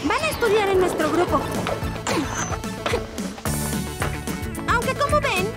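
Excitable, very high-pitched cartoon girl. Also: brisk, over a noisy crowd. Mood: chatty. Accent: Latin American Spanish. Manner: bubbly, exclaiming. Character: excitable, chatty local girl, a young sorceress. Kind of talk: animated cartoon acting. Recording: music behind the voice.

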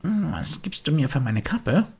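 A man's voice: a little high, very animated, unhurried, creaky, darkish tone, husky, pitched above the performer's own voice, exaggerated voice. A man voicing a naive, eager little wooden puppet boy who chatters impulsively in a children's storybook. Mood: curious, pleading.

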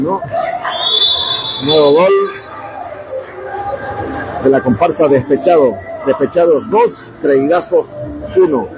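Against the loud din of an indoor sports hall, a man with an eastern Bolivian Spanish accent calls a futsal match live. His voice sits fairly high for a man, and he speaks conversationally to his radio audience. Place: indoor coliseum sports court, from the stands. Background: loud ambient noise of a futsal match.

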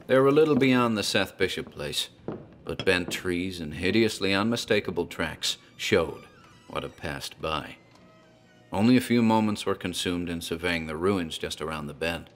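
Deep-voiced man narrating horror. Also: moderate pace, faint music behind.

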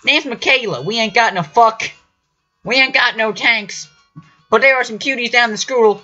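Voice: medium pitch, normal speed, sharp tone, soft background music, pitched above the performer's own voice. A narrator voicing a folksy young female mechanic in a comedic story, drawling and gushing. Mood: cheerful, folksy. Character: friendly young female mechanic with foreign accent. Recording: dull lo-fi audio.

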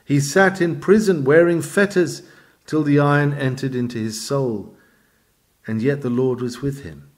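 A man with a mid-pitched voice reads a sermon aloud. His delivery is formal and measured, leaning firmly on key phrases in an oratorical style. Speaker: reader of a historical sermon.

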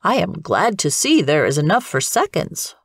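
A woman voicing a genial father badger in a storybook, steady, hearty and pleased. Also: low-pitched, moderate pace, husky, no echo, studio quality, heavily acted. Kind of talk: storybook character voice.

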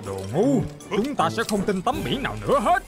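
Wildly swooping, bossy cartoon villain. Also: male voice, high voice, huge pitch swings, normal speed, music in the background. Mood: exasperated, scornful. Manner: scolding, contemptuous. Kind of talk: children's cartoon dubbing.

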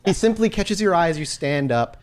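A man running a tabletop game as game master, narrating scenes and talking through rules in an excitable, informal way. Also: a little high, a little fast, a loud crowd. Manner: excitable, informal, laughs easily.

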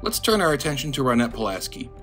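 Man, monotone, deadpan, scripted commentary, faint music behind. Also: medium pitch, quick pace. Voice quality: monotone delivery. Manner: dry, deadpan. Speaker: video essayist.

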